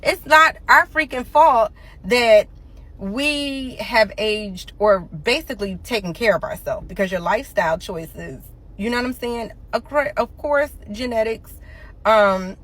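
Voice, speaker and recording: A woman of fifty speaks straight into her phone in a casual, emphatic rant. Her voice sits a little high and swings up on her strongest points. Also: a little slow, a bit bright, low-quality recording. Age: 50s. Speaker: commentator.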